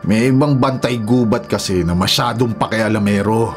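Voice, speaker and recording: An actor with a Filipino accent playing a ruthless, defiant illegal logger and domineering husband in a Tagalog radio drama, menacing and scornful. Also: male voice, lowish voice, moderate pace, lightly acted. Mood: defiant, cold. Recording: dramatic music behind the voice. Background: music.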